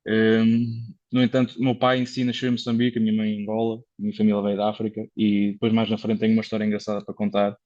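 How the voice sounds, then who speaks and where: A man's voice: fairly low pitch, completely flat delivery, quick pace, a little mellow, dry recording, dull audio. A Portuguese man, a car consultant, talking casually as a podcast guest, explaining things at length with many fillers and restarts. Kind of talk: casual conversational interview.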